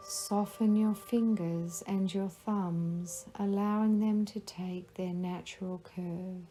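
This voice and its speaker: A woman guiding a relaxation meditation, giving slow, soothing instructions. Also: medium pitch, fairly even intonation, mellow, low-quality recording. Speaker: meditation guide. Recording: faint music behind the voice.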